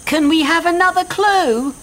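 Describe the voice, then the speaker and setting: A woman's voice: on the high side, very animated, slow, pitched above the performer's own voice. A woman voicing an eager, urgent young boy in a storybook, speaking expressively. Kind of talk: storybook character voice.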